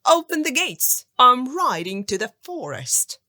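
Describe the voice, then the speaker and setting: A woman's voice: high-pitched, sing-song, measured pace, bright, forceful tone, no room sound, clean studio sound, pitched above the performer's own voice, exaggerated voice. A woman voicing a lazy, carefree young peasant man in a children's storybook tale. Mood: demanding, carefree. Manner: calling out commands. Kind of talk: children's storybook character reading.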